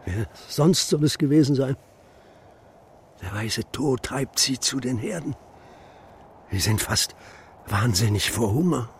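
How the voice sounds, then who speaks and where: A man's voice: medium pitch, expressive, unhurried, long pauses, a little hiss or hum. An actor playing a stubborn old grandfather and shepherd in a children's radio drama, terse, grave and commanding. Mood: grim, urgent. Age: older.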